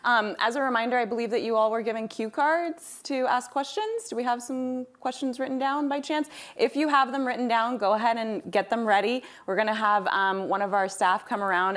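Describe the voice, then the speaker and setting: A woman's voice: medium pitch, steady tone, brisk, hardly any pauses, a bit bright. Woman moderator asking panel questions. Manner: friendly, hesitant questions with ums. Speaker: moderator, reporter.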